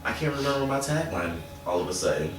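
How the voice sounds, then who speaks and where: A man's voice: medium pitch, big pitch swings, fast talker. A man in his forties casually hosting a group discussion, picked up by a distant camera microphone. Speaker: show host. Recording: very echoey room, distant mic, faint music.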